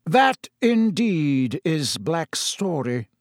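Man playing a calm, authoritative old headmaster. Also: on the high side, very slow, no echo, clean studio sound, voice pushed up, exaggerated voice. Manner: calm, courteous, firm.

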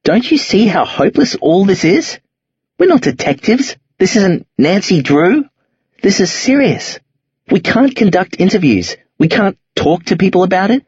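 A man's voice: sits high, big pitch swings, normal speed, darkish tone, slightly rough, no echo, voice pushed up, full character voice. A man doing a nervous, bookish teenage boy. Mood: despairing. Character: anxious bookish teenage boy, frightened and shaken. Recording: dull lo-fi audio.

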